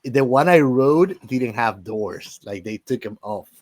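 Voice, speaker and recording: A man's voice: medium pitch, lively intonation, measured pace, no room sound. A man chatting casually as a podcast co-host, very informal and often tagging his phrases with "man". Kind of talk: casual film podcast chat.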